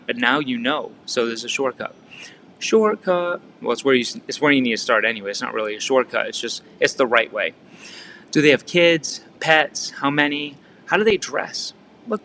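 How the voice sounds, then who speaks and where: Bright-voiced Massachusetts man coaching. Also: medium pitch, a little hiss or hum. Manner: conversational, asks the listener frequent rhetorical questions; frequent fillers and restarts. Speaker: real estate coach. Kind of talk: casual teaching talk.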